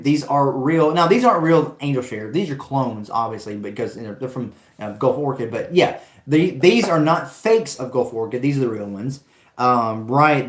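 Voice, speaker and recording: A man's voice: medium pitch, reverberant. A man hosting a live stream, chatting with viewers in an enthusiastic, rambling way, his voice worn from talking. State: voice going out from long talking. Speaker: fragrance live stream host. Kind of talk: casual live stream chat reading comments.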